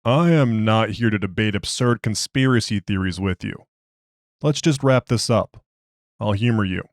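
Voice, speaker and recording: A man's voice: lowish voice, normal speed, no echo, studio quality, close to an everyday voice. A man voicing a skeptical research doctor who questions a prisoner in formal, clipped, dismissive speech. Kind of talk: dramatized interview log.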